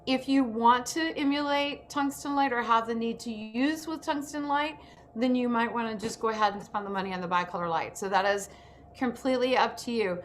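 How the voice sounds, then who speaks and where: A woman's voice: on the high side, brisk. A woman hosting an informal online workshop, speaking enthusiastically as she instructs over a video call. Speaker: host and acting self-tape instructor. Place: indoor room on a video call. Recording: background noise on a video call.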